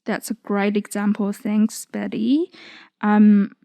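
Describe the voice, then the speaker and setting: Mellow Australian woman hosting a podcast. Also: mid-range voice, level tone, slow pace, vocal fry, no room sound, studio quality. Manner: friendly questions and brief affirmations. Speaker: speech pathologist, podcast host. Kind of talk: hosted podcast interview.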